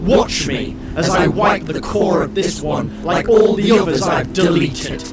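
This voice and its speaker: A man playing a menacing, vehement dark entity bent on destruction in an audio drama, over loud music. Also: high voice, lively intonation. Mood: menacing, resolute. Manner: vehement, accusatory, slow and deliberate. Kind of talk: audio drama villain acting.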